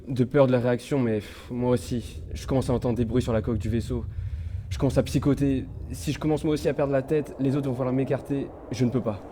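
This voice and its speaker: Mellow-voiced, uneasy male space engineer played by a man. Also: medium pitch, slight background noise, lightly acted. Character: male ship engineer, anxious officer under mounting strain. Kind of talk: amateur audio drama, recorded log.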